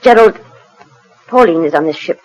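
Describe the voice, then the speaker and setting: An actress with a British accent playing a proud, bitter wronged wife in a 1940s radio drama, crisp, controlled and cutting. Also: female voice, on the low side, animated, normal speed, darkish tone, dull audio. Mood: icy. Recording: noisy 1940s radio recording.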